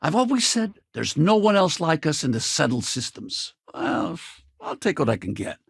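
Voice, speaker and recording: A man playing a middle-aged, weary but loyal spaceship crewman and ex-soldier in a video game, plain-spoken and wry, with a gravelly, husky voice. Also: a little high, very animated, normal speed, dry recording, clean studio sound, full character voice. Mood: earnest. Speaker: video game companion character. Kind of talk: naturalistic video game dialogue.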